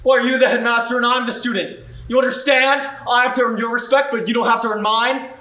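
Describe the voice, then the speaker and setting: A man's voice: extremely high pitch, steady tone, fast. A man playing a defiant young student. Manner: confrontational, rising to shouts. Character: defiant young male student, proud and hurt. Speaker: actor performing an audition monologue. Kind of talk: dramatic monologue. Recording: roomy sound, mic far off.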